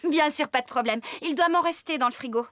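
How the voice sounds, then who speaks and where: A woman voicing a kind, responsible farmer in a French-dubbed preschool cartoon, cheerful and expressive. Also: sits high, fast, no echo. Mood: friendly. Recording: loud music underneath.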